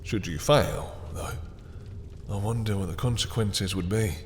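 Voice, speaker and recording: A man voicing a possessive, sadistic male vampire lord in an audio roleplay, smooth and taunting, with soft chuckles and low whispers. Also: unhurried, long reverb tail, slight background noise, close to an everyday voice. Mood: menacing.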